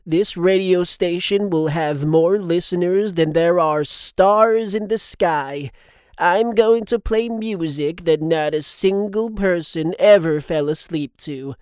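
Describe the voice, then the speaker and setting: Slow, prim, earnest sidekick. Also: male voice, high-pitched, clear, resonant tone, no room sound, studio quality. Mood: resolute, dreamy. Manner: formal, measured, deadpan. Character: earnest, prim, literal-minded male radio sidekick. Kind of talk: scripted children's audio drama acting.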